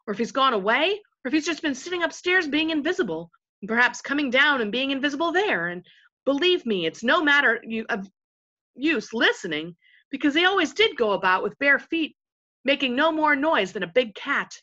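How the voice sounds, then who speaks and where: A woman's voice: sits high, sing-song, fairly quick, sharp tone, highs cut off, pitched above the performer's own voice, heavily acted. Woman playing a rambling, folksy invisible gang chief. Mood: earnest, exasperated. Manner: colloquial, rambling, repetitive. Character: invisible leader, rambling, matter-of-fact, folksy, timid bully.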